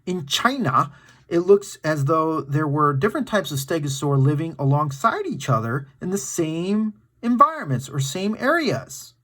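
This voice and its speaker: A man's voice: fairly high pitch, big pitch swings, normal speed, pushed and bright. A man hosting a children's story time, reading aloud in an enthusiastic, playful and dramatic way with casual asides to his young viewers.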